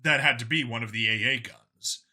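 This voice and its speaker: A man narrates an audiobook with a very bright, forceful voice at a middle pitch. His delivery is scripted and dramatic, clearly storytelling. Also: normal speed.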